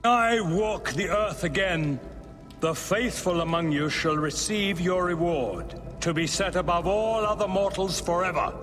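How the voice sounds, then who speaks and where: Fantasy game cult prophet: exalted, menacing proclamations, measured pace, huge reverberant hall. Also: male voice, high voice, a bit bright. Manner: slow, grand, proclaiming. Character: grandiose male cult leader preaching as prophet of doom. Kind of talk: video game villain acting, oratory. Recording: long hall reverb, faint music behind.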